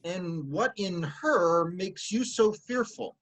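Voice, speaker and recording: An actor playing a humble, cheery old messenger from Corinth in a formal, expressive reading of a Greek tragedy. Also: male voice, high voice, slow pace, exaggerated voice. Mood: probing, kindly.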